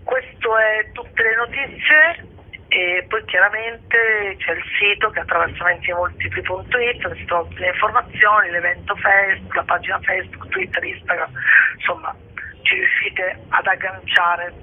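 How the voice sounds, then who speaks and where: A woman guest presenting a festival programme in an informal interview, with a husky voice and a hesitant manner, searching for words. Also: medium pitch, lively intonation, normal speed. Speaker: festival co-director, radio guest. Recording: dull, band-limited phone-like radio sound.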